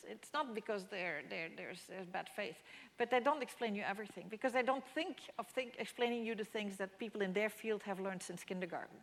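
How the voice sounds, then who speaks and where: A woman whose first language is Dutch, giving a public mathematics lecture with slides and explaining things with frequent restarts and fillers. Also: mid-range voice. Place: lecture hall. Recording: long hall reverb.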